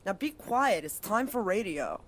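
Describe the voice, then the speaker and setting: A woman's voice: mid-range voice, very animated, a little slow. A woman playing a moody young woman who leads a small group and gives terse, clipped orders in a fan audio drama. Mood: curt.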